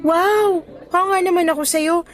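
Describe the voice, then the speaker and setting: A woman's voice: very high-pitched, unhurried. A woman acting as a cheerful young new teacher in a radio drama, chatty and appreciative with a hopeful, slightly nervous temper. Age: young adult. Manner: bright-spirited, chatty, appreciative.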